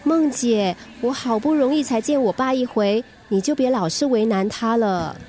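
An actress playing an earnest, gentle young daughter in a radio drama, polite and pleading, with a Singaporean accent. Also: female voice, on the high side, normal speed, slight background noise, natural voice. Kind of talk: Mandarin radio drama acting.

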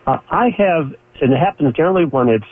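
A man's voice: a little high, lively intonation, quick pace, a little hiss or hum. A man calling in to a radio show, asking a question plainly and a little unsure. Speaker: caller. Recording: tinny phone line.

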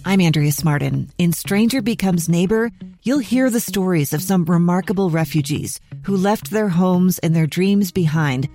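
An American woman narrates a scripted promo as a host. Her voice sits a little low, with faint music underneath. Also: lively intonation, normal speed, dark tone. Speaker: podcast host.

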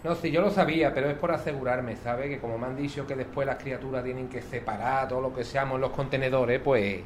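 A man's voice: medium pitch, steady tone, quick pace, background noise, natural voice. A man playing a kindly, curious old grandmother with a Sevillian accent, chatty and affectionate, in an audio drama. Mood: polite, unsure. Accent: Sevillian Andalusian. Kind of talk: naturalistic audio drama dialogue.

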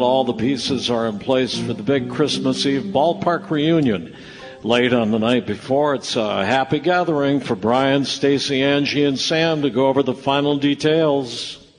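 An actor narrating a Christmas radio play as a folksy storyteller. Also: male voice, medium pitch, unhurried, lightly acted, poor audio. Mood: wry, folksy. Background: live music.

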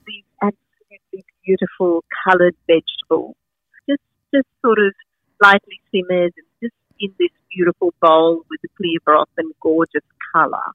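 A woman's voice: fairly low pitch, expressive, moderate pace, dry recording. Middle-aged sri lankan lady gushing about food, lots of you-knows, dry muffled sound. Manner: enthusiastic, rambling with many fillers. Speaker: guest, market founder and farmer. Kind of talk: casual interview chat.

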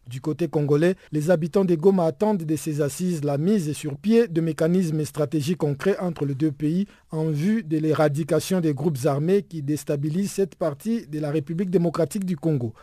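A male news anchor reading scripted news in a smooth, even delivery. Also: fairly high pitch, dry recording.

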